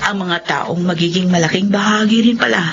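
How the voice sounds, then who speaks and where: Actress playing a gentle faithful young wife. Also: female voice, mid-range voice, a little fast, heavy background noise, close to an everyday voice. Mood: tender. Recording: muffled radio drama with music bed.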